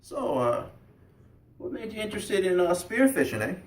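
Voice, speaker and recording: A man's voice: high voice, sing-song, normal speed, pitched above the performer's own voice. A man voicing a veteran spear fisherman, a hearty, folksy mentor, in a live storytelling. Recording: roomy, echoey, distant mic, faint noise.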